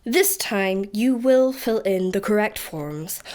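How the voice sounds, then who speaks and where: A woman's voice: mid-range voice, lively intonation, takes it slowly, a little mellow. An actress with a Scottish accent playing a scornful, gleefully sinister female interrogation officer in a comic radio play. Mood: icy menace.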